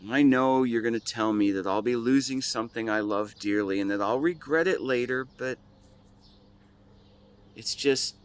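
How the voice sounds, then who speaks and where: A man playing a weary, devoted husband in a scripted dramatic monologue, speaking tenderly and haltingly as if to his wife. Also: medium pitch, lightly acted. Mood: weary, dejected. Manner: halting, earnest, intimate delivery. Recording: clear background ambience with nature sounds.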